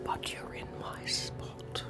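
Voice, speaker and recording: Menacing horror hospital male actor. Gravelly, hushed, extremely slow ASMR delivery with music underneath. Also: close to an everyday voice. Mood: quiet menace. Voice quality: gravelly whisper. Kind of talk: cinematic ASMR roleplay.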